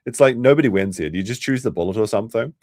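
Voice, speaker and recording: A man's voice: lowish voice, expressive, rapid-fire, a little mellow, dry recording, studio quality. A young man with a New Zealand accent, chatting crudely and rambling as a livestream host reacting for his chat. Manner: rambling, crude, restarts often, talks to chat. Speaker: streamer host.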